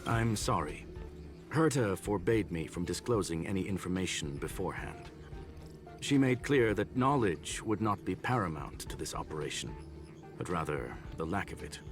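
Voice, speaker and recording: Robot gentleman, low voice, expressive intonation, formal, measured, analytical, video game dialogue. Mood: courteous, analytical. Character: courteous, learned mechanical gentleman, calm and analytical. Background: game music.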